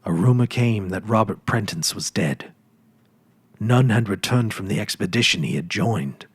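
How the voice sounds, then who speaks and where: Guy reading an old story out loud, husky low voice, dry close sound. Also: lively intonation, no room sound. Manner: measured, dramatic reading. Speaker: narrator.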